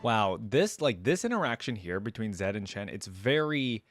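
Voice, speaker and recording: A man with a fairly low voice chats casually as a reaction host. He thinks out loud and tosses informal asides to viewers, with faint music underneath. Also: very animated, normal speed. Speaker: host of a game voice-line reaction video.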